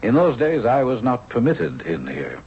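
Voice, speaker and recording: An actor playing a courteous, reserved young captain of the palace guard in a radio drama, speaking formally. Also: male voice, mid-range voice, wildly swooping pitch, normal speed. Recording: dull, noisy old radio broadcast.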